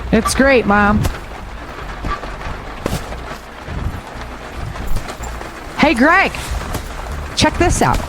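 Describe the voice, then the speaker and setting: A woman's voice: on the high side, expressive, takes it slowly. An actress playing a determined, assertive young female army recruit in an audio drama. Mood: curious. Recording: music bed under dialogue.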